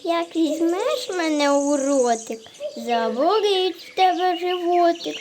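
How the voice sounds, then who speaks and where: A woman's voice: extremely high pitch, animated, slow, noisy background, full character voice. A woman voicing a cheerful little round bread bun in a cartoon, chanting rhymes in a sing-song way, with birds chirping behind. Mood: pleading. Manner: sing-song rhyming boasts.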